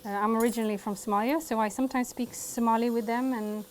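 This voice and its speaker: A woman in her twenties, raised in Sweden, who works as a dentist. She speaks softly and reflectively in a documentary interview, with frequent fillers, at her dental practice. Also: a little high, fairly even intonation, fast, slight background noise. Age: 20s.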